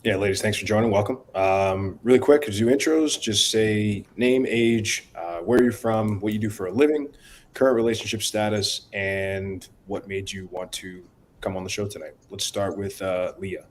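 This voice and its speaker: A man co-hosting a live-stream talk show, casually asking guests about themselves. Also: moderate pace. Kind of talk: casual conversational interviewing. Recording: clear background noise with low rumble.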